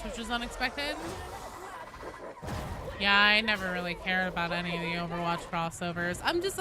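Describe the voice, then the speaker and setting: A woman hosts a live game stream in an average-pitched voice. She talks casually and wryly to her viewers, drifting between chat and play. Clear game noise runs behind her. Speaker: live streamer hosting gameplay.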